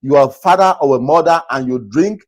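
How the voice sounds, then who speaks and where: A man from Nigeria hosting a talk video, earnestly advising viewers in a naturally loud voice and repeating his points. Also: a little high, fairly quick. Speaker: host. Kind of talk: casual commentary to camera.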